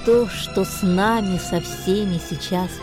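Slow, lyrical actress as a winter-tale storyteller over music. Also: female voice, mid-range voice, lightly acted. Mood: tender, festive. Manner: slow, expressive, lyrical delivery. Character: gentle female storyteller of a snowy winter fairy tale. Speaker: performer in a radio play. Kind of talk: poetic New Year radio play recitation and song. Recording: radio play with music laid under. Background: lullaby-like music.

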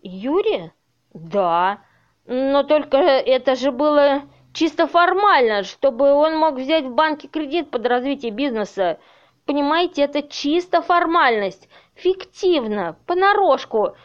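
A high-pitched, slow-spoken woman played by an actress in a radio play. She is trusting and easily flustered, distraught about her home. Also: lively intonation, lo-fi sound. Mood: bewildered, anxious. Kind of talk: amateur audio play acting.

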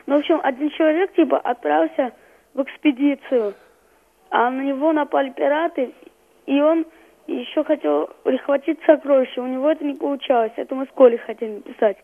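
A man's voice: extremely high pitch, steady tone, brisk, gravelly. A thirteen-year-old boy giving casual, hesitant answers as a guest in an interview. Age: 13 years old. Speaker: young playwright guest on radio. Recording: muffled narrow-band phone call.

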